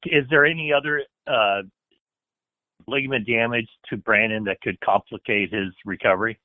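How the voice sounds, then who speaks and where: A man's voice: medium pitch, normal speed, no room sound. A man asking questions as a sports reporter on a press conference call. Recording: muffled, narrow phone line.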